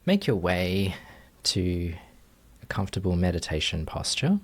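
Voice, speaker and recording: A man leading a guided meditation as a teacher, speaking gently and invitingly with long, unhurried pauses. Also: low voice, sing-song.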